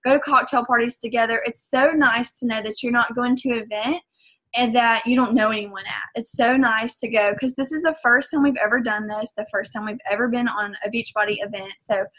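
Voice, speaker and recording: A woman's voice: fairly high pitch, brisk, a bit bright, low-quality recording. A young woman with a Southern US accent, talking casually and earnestly about her coaching business, with lots of fillers. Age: young adult. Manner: chatty, filler-heavy, earnest. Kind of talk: casual vlog talk to camera.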